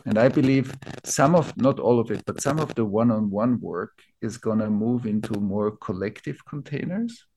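A man from Austria with an Austrian accent, a native German speaker, explaining ideas reflectively as an interview guest, building his thoughts in short, halting phrases. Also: lowish voice, animated, mellow, a little hiss or hum, poor audio. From Vienna, Austria. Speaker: interview guest, teacher of collective trauma healing.

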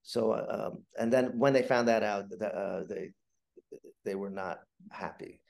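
An older man telling casual anecdotes as a podcast guest, halting and repeating his words, in a self-deprecating manner. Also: lowish voice, vocal fry, a little mellow.

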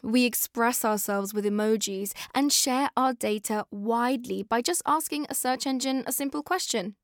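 Higher-pitched British woman hosting a podcast. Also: normal speed, no room sound, clean studio sound. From born in Afghanistan, moved to the UK. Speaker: podcast host. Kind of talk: scripted podcast narration.

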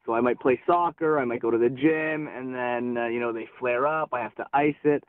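A man's voice: medium pitch, no room sound. Thirty-year-old man on a tinny phone line. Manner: casual, halting, with many fillers. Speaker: caller.

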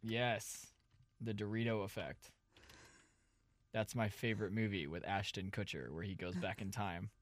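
A man's voice: on the low side, steady tone, unhurried, long pauses, no room sound. A man co-hosting a podcast, casual comedic chat with silly improvised bits. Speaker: podcast co-host.

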